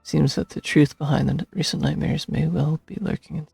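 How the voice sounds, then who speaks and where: A man's voice: fairly high pitch, level tone, moderate pace, gravelly, darkish tone, slightly rough, dry recording, faint music behind. A man giving casual game playthrough commentary and reading on-screen text aloud on a close mic. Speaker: game streamer.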